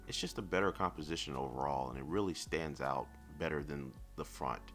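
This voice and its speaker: A man reviewing collectibles in semi-scripted narration, speaking in a measured, articulate and lightly wry way over background music. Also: extremely low pitch, fairly bright tone.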